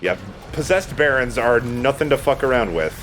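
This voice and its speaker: A man's voice: mid-range voice, lively intonation, normal speed, fairly bright tone, soft background music. A man giving casual, explanatory commentary on a video game in a conversational way. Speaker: let's play gamer and commentator.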